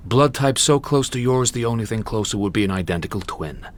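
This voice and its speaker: A man plays a loyal American doctor in a radio play. His voice is deep, and he speaks in short, matter-of-fact phrases, steady and persuasive. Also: fairly quick, close to an everyday voice. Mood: urgent, persuasive. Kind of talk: radio drama acting.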